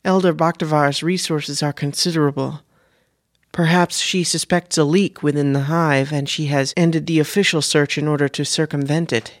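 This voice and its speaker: A man reading an audiobook and voicing Fiona, a composed woman operative who talks in a calm, deliberate, formal way. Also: fairly high pitch, no echo, clean studio sound, pitched above the performer's own voice, natural voice.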